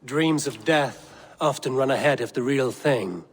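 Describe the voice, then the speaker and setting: Blunt, commanding Viking raider. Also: male voice, medium pitch, measured pace. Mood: firm, probing. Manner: direct, challenging, resolute. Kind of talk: dramatic video game acting.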